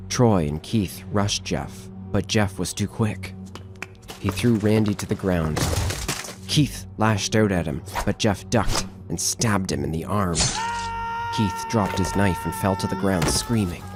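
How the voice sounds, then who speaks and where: A man's voice: low-pitched, measured pace, over music. A man narrating a scripted horror story as the narrator of an audio drama.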